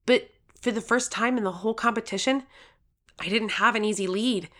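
A woman's voice: mid-range voice, fairly quick, bright, forceful tone, natural voice. A woman playing an earnest, determined thirteen-year-old contestant who narrates their own story in an audio drama. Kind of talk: scripted first-person audio drama narration.

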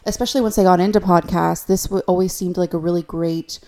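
A woman's voice: lowish voice, steady tone, fast talker, warm tone. A young adult woman co-hosting a podcast in casual, conversational chat. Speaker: podcast co-host.

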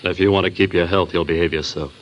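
A man's voice: low voice, fairly even intonation, fast talker, a little hiss or hum, lightly acted. An actor playing a curt, menacing American henchman in an old radio crime drama. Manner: curt, threatening, slangy. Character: tough, menacing male hoodlum, gangster's henchman. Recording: dull old radio recording.

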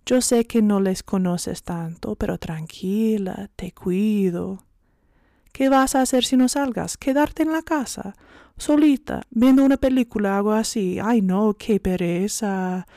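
A woman's voice: mid-range voice, measured pace, dark tone, no room sound, clean studio sound, close to an everyday voice. A woman whose first language is English voicing a sly, persuasive tempter spirit that coaxes in a wheedling, sing-song way. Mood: coaxing.

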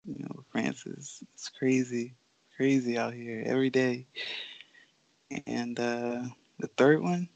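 A woman's voice: extremely low pitch, fairly even intonation, slow pace, creaky, darkish tone, no room sound, dull audio. A young woman, a professional basketball player from the Bahamas who grew up in Florida, chatting casually and easygoing as a podcast guest. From Bahamas, raised in Florida. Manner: laid-back, easygoing, laughs often.

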